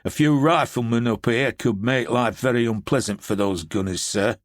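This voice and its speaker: A man's voice: mid-range voice, moderate pace, no room sound, clean studio sound, close to an everyday voice. A man voicing a terse, clipped army officer. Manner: clipped and laconic.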